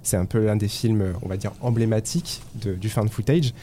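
Mellow-voiced French man hosting a podcast. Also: lowish voice, fairly quick, slight background noise. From France. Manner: lively, hesitant at times. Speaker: podcast host.

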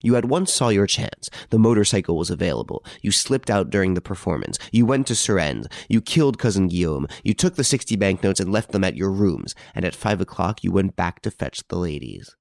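A man voicing a confident, sly gentleman detective in an audiobook, good-humoured but emphatic. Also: deep voice, big pitch swings, brisk, vocal fry, hardly any pauses, no echo, clean studio sound, full character voice. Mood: pressing, accusing.

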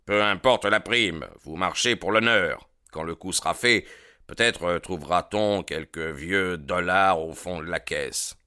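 A man reading aloud, voicing an authoritative gang boss who gives orders in a commanding, smooth-talking way. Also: low-pitched, unhurried, pushed and bright, no room sound, studio quality.

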